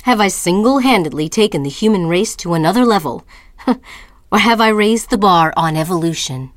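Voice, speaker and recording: A woman playing an anguished, defiant writer in a theatrical audio-drama monologue, speaking deliberately and dramatically. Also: medium pitch, lively intonation, dry recording, clean studio sound, natural voice. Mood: indignant, wry. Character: anguished, defiant woman writer defending her identity and work.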